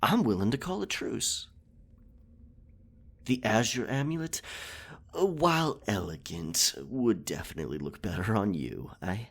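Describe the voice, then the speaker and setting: Roguish captain, breathy rasp, slow-paced, teasing, theatrical, boastful, ASMR roleplay. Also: male voice, on the low side, lively intonation, a bit bright, heavily acted. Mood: coaxing, conspiratorial. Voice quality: husky, breathy. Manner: theatrical, indignant outbursts, teasing asides. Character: boastful, roguish, flirtatious male pirate captain.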